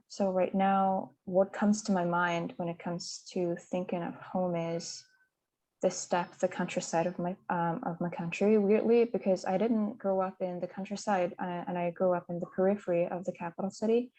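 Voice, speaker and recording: A woman's voice: on the low side, dark tone, low-quality recording. A woman from Mongolia, speaking as a conference panelist in a hesitant, reflective way with many fillers and restarts. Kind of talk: reflective personal talk.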